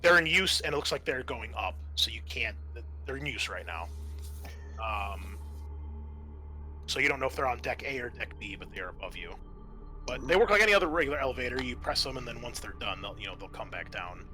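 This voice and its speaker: A man acting as game master, improvising halting narration for a tabletop roleplaying game. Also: medium pitch, very animated, sharp tone.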